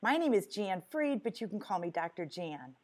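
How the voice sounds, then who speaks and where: A woman's voice: mid-range voice, big pitch swings, normal speed. A woman in her sixties hosting a podcast interview, enthusiastic as she introduces her guest. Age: 60s. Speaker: podcast host.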